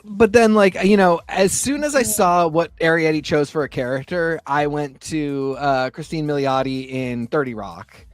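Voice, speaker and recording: Brief: male co-host, chatty and loose, slightly high voice, dry close mic. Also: normal speed, few pauses, dry recording, faint music behind. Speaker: podcast co-host. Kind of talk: casual review chat.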